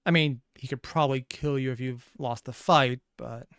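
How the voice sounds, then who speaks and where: A man's voice: mid-range voice, normal speed, fairly bright tone, smooth, dry recording, studio quality. A man hosting a reaction video, commenting casually in a rambling, analytical way.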